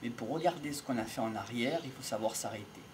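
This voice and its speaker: A middle-aged man gives an improvised, reflective monologue in a measured way, pausing between short phrases. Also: mid-range voice, background noise. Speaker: amateur lecturer on psychology and philosophy. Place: outdoors in an open field by trees. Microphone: small wired mic held near the mouth.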